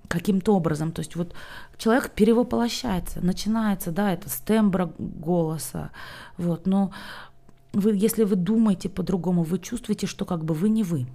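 A woman's voice: on the low side, normal speed, creaky, dark tone. A woman language teacher talking casually to the camera, chatty and rambling, with lots of fillers and frequent checks that the listener is following.

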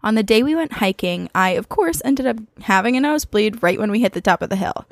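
A woman co-hosting a podcast, chatting casually and reading listener emails aloud in an expressive, conversational way. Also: medium pitch, fairly quick, dry recording, clean studio sound. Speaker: podcast co-host.

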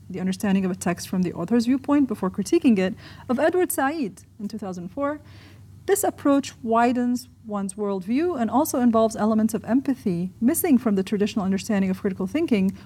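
A woman from Egypt reads her own academic essay aloud in a measured, articulate way. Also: mid-range voice, warm tone, slightly rough. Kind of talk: scripted essay narration, read aloud.

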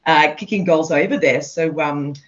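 A woman's voice: low-pitched, normal speed. Bubbly Australian woman co-host. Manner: bubbly, laughs often. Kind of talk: casual chat. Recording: muffled sound.

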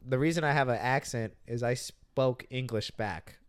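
A man's voice: mid-range voice, moderate pace, clean tone. A man hosting a podcast in casual chat, teasing his guest and laughing often. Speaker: podcast host.

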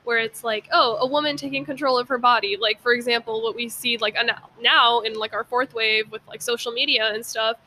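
A woman's voice: a little high, steady tone, a little fast, hardly any pauses, bright, forceful tone, slight background noise. A young woman guesting on a podcast, talking casually and earnestly, emphatic about her opinions. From Persian background. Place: outdoors.

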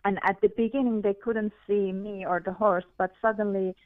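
A woman from Iran with a Persian accent tells her story earnestly, pausing on fillers. Her voice sits in a middle pitch range. The sound is dry and tinny, like a phone line. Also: fairly even intonation, dry recording. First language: Farsi. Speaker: guest. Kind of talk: interview, personal testimony.

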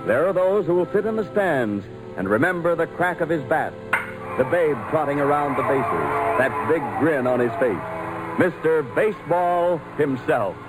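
A man's voice: on the high side, animated, normal speed, low-quality recording. A man narrating a 1940s radio drama as its announcer, solemn and grandly sentimental. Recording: old radio recording, loud music.

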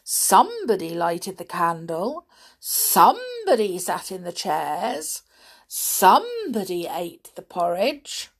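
A woman's voice: mid-range voice, huge pitch swings, slow, heavily acted. A woman voicing an anxious but decisive storybook man in an earnest, emphatic way. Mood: puzzled.

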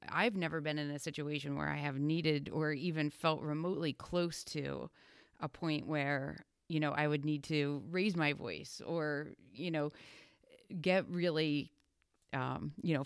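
A woman's voice: low voice, a little slow, smooth, no echo, clean studio sound. A woman co-hosting a podcast as a youth dance coach, speaking in a supportive, thoughtful way. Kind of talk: casual podcast conversation.

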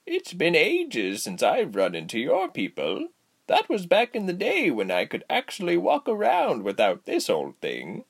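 A man acts out an elderly woman healer from a storybook. The voice is lifted high and swings widely, with slow, drawn-out phrases. The manner is cheery, giggly and gently teasing. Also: huge pitch swings, vocal fry, slightly rough, exaggerated voice. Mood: fond, breezy. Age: older. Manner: slow, drawling, kindly teasing delivery. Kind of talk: storybook character voice.

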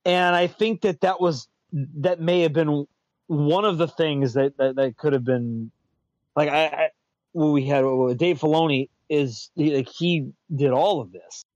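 Male host, a touch high-pitched, loose rambling chat with restarts, muffled dry recording. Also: unhurried, darkish tone, no echo. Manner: rambling, repeats and restarts words, chuckles often. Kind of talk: casual pop-culture chat. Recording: dull lo-fi dry sound.

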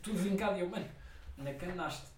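Slightly off the microphone in an echoey room, a young man co-hosts a podcast with a European Portuguese accent. He speaks fast, in loose, slangy banter that rambles along. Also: sits high, a crowd in the background. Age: young adult. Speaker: podcast co-host. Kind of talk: casual banter with a friend. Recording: echoey room, distant mic, faint noise.

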